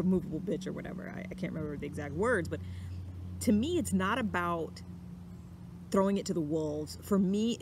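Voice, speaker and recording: Low-voiced young woman vlogging outdoors. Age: young adult. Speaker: vlog host. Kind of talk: casual talk to camera about personality types. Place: outdoors in woods. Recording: clear steady outdoor background noise.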